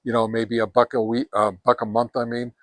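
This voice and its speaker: A man's voice: mid-range voice, flat delivery, a little fast, a bit bright, dry recording. Man giving a casual, emphatic gear review. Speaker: product reviewer and host. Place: outdoors on a deck.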